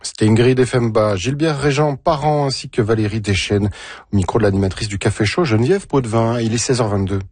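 Man, husky low voice, fast radio links, dry close sound. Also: a little mellow, dry recording. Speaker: radio presenter.

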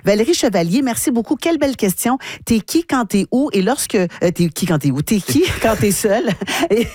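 A woman's voice: a little high, lively intonation, fairly quick, a little mellow, dry recording, studio quality. A woman with a Québécois accent hosting a radio interview, engaged and affirming. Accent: Québécois French. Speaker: radio host. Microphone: studio mic.

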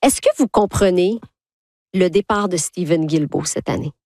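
A woman's voice: lowish voice, normal speed, a little mellow, no echo, studio quality. A woman interviewer putting prepared questions in an articulate, probing way.

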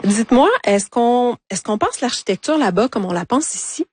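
A woman's voice: fairly high pitch, expressive, normal speed, breathy edge, no echo. A woman interviewing a guest, asking short prompting questions and giving brief acknowledgments. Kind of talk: radio-style interview.

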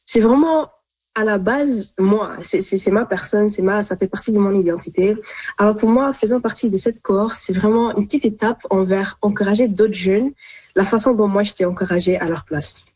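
Teen girl from Canada, earnest interview guest, mid pitch. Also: darkish tone. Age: teens. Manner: earnest, many restarts and fillers. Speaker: high school student guest.

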